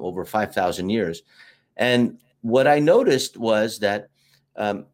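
A middle-aged American man, a marketing teacher talking at length as an interview guest, in an expansive storytelling way with fillers. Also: medium pitch. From United States. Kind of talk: casual interview talk.

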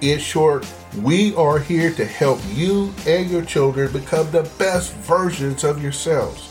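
A man's voice: fairly high pitch, unhurried, soft background music. A man hosting a parenting podcast, interviewing a guest in a friendly, familiar way. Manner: friendly, calls guest brother. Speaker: podcast host.

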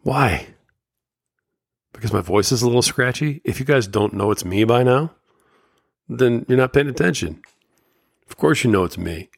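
A man's voice: medium pitch, animated, moderate pace, creaky, long pauses, breathy edge, no room sound, studio quality. A man hosting a solo podcast, talking candidly and motivationally, his voice slightly scratchy from a summer cold. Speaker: podcast host.

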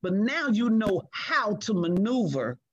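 A middle-aged woman speaking as a guest in a conversation, earnest and emphatic, measured in her delivery. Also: medium pitch, very animated, dull audio, a loud crowd. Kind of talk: reflective conversation.

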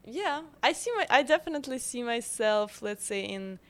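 A woman in her twenties from Ukraine, with a Ukrainian accent, talking as a guest in a casual interview, halting and full of fillers. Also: a little high, lively intonation. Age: 20s. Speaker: guest, bakery founder.

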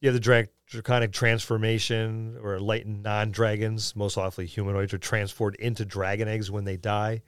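Male podcast co-host, lowish pitch, clear resonant voice, casual gamer chat. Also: little pitch movement, a little fast, few pauses, no echo, clean studio sound. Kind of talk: casual tabletop gaming discussion.

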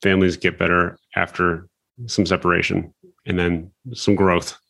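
A man in casual conversation, relaxed and affirming, chatting along with plenty of filler words. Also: low-pitched, moderate pace, vocal fry.

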